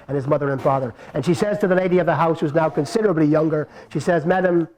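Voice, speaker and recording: A man's voice: a little high, a little mellow, poor audio. A man telling a folk tale to a live audience, playful and addressing listeners directly. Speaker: storyteller. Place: outdoor marketplace performance.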